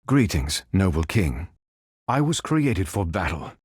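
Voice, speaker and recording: A male actor voicing a calm, stately android superhero in a video game, speaking in formal, measured, precise phrases. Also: low-pitched, breathy edge, dry recording, studio quality, full character voice. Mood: courteous, formal. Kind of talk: scripted game voice lines.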